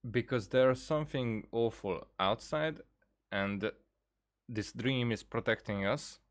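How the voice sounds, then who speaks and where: A man's voice: mid-range voice, slow. A man giving casual, rambling game commentary, thinking aloud hesitantly and often repeating words as he goes. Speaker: gaming video creator.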